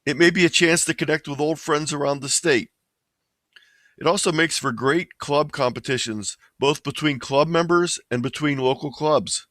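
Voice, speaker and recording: A man's voice: a little high, moderate pace, a bit bright, no room sound. A man presenting an informational webinar from slides in a plain, explanatory way.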